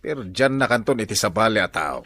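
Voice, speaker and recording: A man's voice: on the low side, animated, quick pace, fairly bright tone. An actor in a radio drama playing a practical middle-aged village father who grumbles about lending money. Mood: grumbling. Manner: grumbling and matter-of-fact.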